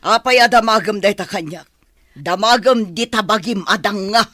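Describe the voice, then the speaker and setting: A woman's voice: mid-range voice, normal speed, a bit bright, no room sound, clean studio sound, natural voice. A woman with an Ilocano accent playing a stern, scolding older mother-in-law in a radio-style drama. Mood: scornful.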